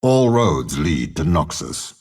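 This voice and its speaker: An actor playing a cold, scheming older warlord and spymaster in a video game, speaking with a gravelly, husky voice in a measured, haughty, menacing manner. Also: male voice, very deep voice, sing-song, full character voice. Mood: calm, scheming. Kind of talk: video game character voice lines.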